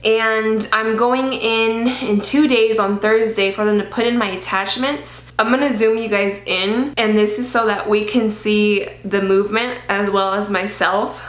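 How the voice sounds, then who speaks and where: A woman's voice: medium pitch, fairly even intonation, unhurried, few pauses. Chatty woman vlogging in a roomy space. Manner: chatty, many fillers, occasional swearing. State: slight lisp from dental aligners. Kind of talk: casual personal vlog. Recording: roomy echo.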